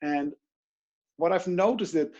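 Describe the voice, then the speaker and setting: A man's voice: fairly high pitch, a little slow, muffled recording. A man from the Netherlands with a Dutch accent, a coach talking in an online interview and acting out inner voices as he tells stories. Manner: animated, storytelling with quoted inner voices. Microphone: video call.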